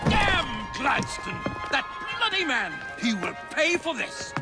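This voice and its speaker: An actor playing an indignant older Prime Minister of Victorian Britain, pompous, with an upper-class English accent. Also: male voice, extremely high pitch, huge pitch swings, slow, loud music behind the voice, full character voice. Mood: furious. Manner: pompous, blustering. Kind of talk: video game cutscene acting.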